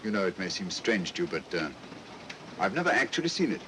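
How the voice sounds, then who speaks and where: Brisk, deep-voiced English gentleman detective. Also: big pitch swings. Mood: courteous. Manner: crisp, courteous, authoritative. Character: shrewd, self-assured English gentleman detective. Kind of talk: 1940s film acting. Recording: noisy 1940s film soundtrack, train rumble.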